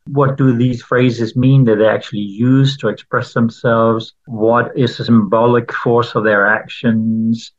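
Man, native Danish speaker, interview guest, thoughtful, filler-heavy, lo-fi sound. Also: mid-range voice. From Denmark. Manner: thoughtful, questioning, with many fillers. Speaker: guest, Bible translator. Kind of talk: interview answers.

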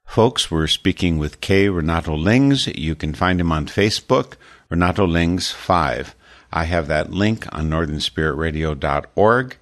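A man hosting a spirituality radio show, introducing his guest and making station announcements in a measured way. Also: sits low. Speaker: radio host.

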